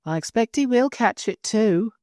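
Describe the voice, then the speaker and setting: A man's voice: high-pitched, animated, normal speed, darkish tone, no echo, studio quality, pitched above the performer's own voice. A man voicing a flustered young housemaid passing on an alarming message. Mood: alarmed.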